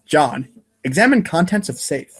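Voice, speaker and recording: A man's voice: a little high, sing-song, normal speed, fairly bright tone, slightly rough. A man giving a wry, theatrical dramatic reading as a podcast narrator, now and then tripping over long words.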